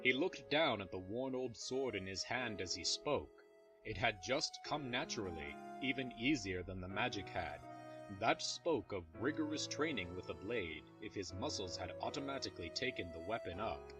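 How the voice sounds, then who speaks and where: Male storyteller for scripted audio drama narration; fairly low pitch, music bed underneath. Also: lively intonation, normal speed.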